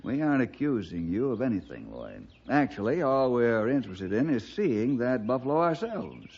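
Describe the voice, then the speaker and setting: Husky, calm American ranger. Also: male voice, medium pitch, moderate pace. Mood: calm, friendly. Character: calm, inquisitive male Western ranger. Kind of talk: 1960s radio drama acting. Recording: dull vintage radio recording.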